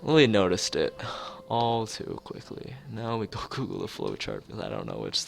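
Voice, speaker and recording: A man with a lowish voice streams a story game live. He reads the text aloud and breaks off for joking, mock-formal commentary. Faint plucked guitar music plays behind him. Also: huge pitch swings, moderate pace, creaky. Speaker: streamer playing a visual novel.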